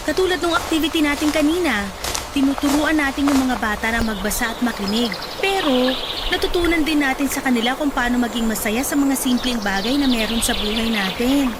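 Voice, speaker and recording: A woman's voice: high-pitched, fairly quick, heavy background noise, close to an everyday voice. An actress playing a chatty, encouraging young woman volunteer who teases lightly and laughs often. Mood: friendly. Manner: lively, teasing, laughs often. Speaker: volunteer at a charity event. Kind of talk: radio drama acting. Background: loud background music.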